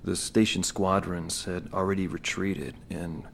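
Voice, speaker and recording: A weary ex-pilot recalls the war in a very deep, somber voice. A faint hiss sits under his words. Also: fairly even intonation, unhurried, vocal fry. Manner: quiet, somber, reflective. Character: weary older male ex-pilot telling his war memories. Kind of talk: interview-style recollection in a game cutscene.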